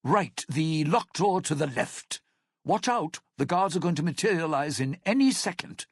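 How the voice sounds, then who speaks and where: An actor playing a cynical, self-serving lounge singer in a video game, with a raspy, gravelly voice and a wry, theatrical, menacing delivery. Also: male voice, fairly high pitch, animated, normal speed, no echo, clean studio sound. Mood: urgent, wry. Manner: wry, theatrical, hesitant muttering turning to threats. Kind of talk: video game character dialogue.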